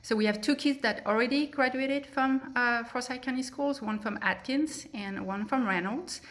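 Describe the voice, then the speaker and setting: Woman, middle-aged, French accent, friendly, steady, video call. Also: fairly high pitch, normal speed. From France. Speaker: school board candidate. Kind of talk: forum candidate statement.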